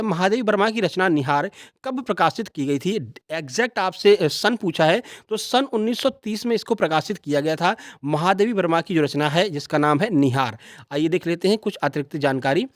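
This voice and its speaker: A man teaches in an exam-review style. He states each question, answers it and repeats the key facts instructively. The sound is close and dry, with no room echo. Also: high voice.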